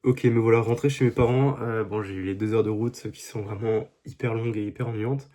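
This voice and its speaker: A man's voice: lowish voice, fairly even intonation, fairly quick, mellow. Young male vlogger with a head cold, rambling casual diary talk, phone mic. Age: young adult. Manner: rambling, many fillers and restarts. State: congested with a cold. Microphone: phone held facing him.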